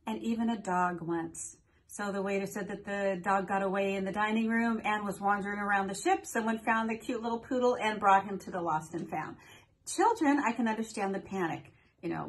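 Chatty older woman vlogging from home. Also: medium pitch. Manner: friendly storytelling, playful asides. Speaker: cruise vlogger host. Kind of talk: chatty countdown vlog. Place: small home room.

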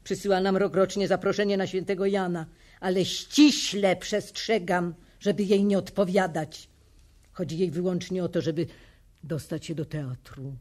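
An actress playing a proud, bossy old theatre widow in a radio play, grumbling and emphatic. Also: female voice, fairly low pitch, fairly even intonation, moderate pace, darkish tone, natural voice. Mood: dry. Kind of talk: Polish radio play acting.

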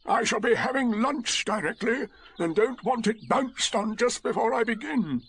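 A man voicing a gloomy, sarcastic storybook donkey with a slow, mournful drawl. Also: high-pitched, big pitch swings, voice pushed up, full character voice. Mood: dry, fussy. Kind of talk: storybook character voice.

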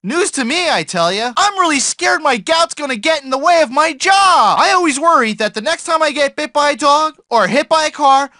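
A man's voice: extremely high pitch, lively intonation, moderate pace, hardly any pauses, sharp tone, no room sound, clean studio sound, full character voice. An actor playing a self-important, gossipy mailman in a video game, with a folksy, whiny, blustering manner. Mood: chatty gossipy. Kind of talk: video game character barks and chatter.

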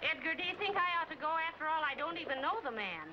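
A woman's voice: high-pitched, animated, fast talker. A woman playing a fretful, nagging wife in 1940s comedy style. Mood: worried. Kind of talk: 1940s radio-comedy acting. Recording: dull noisy 1940s film soundtrack.

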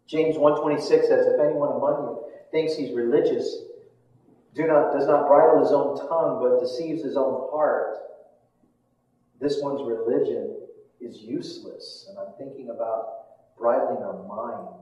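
Older American pastor in an echoey hall. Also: male voice, medium pitch, fairly even intonation, measured pace, mellow. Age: older, around sixties. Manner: informal, colloquial, repeats phrases for emphasis. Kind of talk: conversational sermon to a congregation. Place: church stage in a hall, indoors. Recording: echoey hall from far off, slightly dull.

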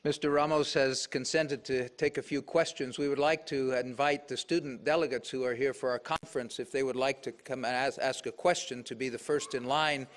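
A man's voice: mid-range voice, fairly even intonation, brisk, hardly any pauses, a little hiss or hum. A middle-aged man moderating a conference session, courteously inviting students up to ask questions. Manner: courteous, organizing. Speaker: event moderator. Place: large auditorium, at a podium. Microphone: podium microphone.

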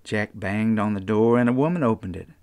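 An older man with an Appalachian accent, reading a children's storybook aloud in an unhurried, folksy way. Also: on the low side, a little mellow, clean tone, no echo, studio quality. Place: small book-lined room indoors.

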